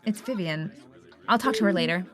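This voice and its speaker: An actress playing a stubborn, warm single woman lawyer in a romantic comedy radio play. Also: medium pitch, expressive, brisk, a crowd in the background, close to an everyday voice. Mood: friendly. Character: warm, stubborn single woman lawyer who loves old-fashioned photography.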